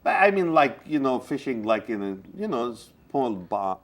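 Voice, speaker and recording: A man's voice: medium pitch, moderate pace. A male host interviews a guest on community television. His voice rises and falls freely as he piles on questions. He sounds encouraging and keeps the talk moving. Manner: asks many follow-up questions, lively and encouraging.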